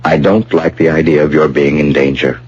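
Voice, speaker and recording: Deep-voiced, brooding, fervent fiancé. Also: male voice, level tone, moderate pace, darkish tone, slightly rough. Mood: brooding, solemn. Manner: grave, insistent. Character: proud, brooding young Inca-descended fiancé, fervent and protective. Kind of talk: old-fashioned radio drama acting. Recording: dull, hissy old radio broadcast with hum.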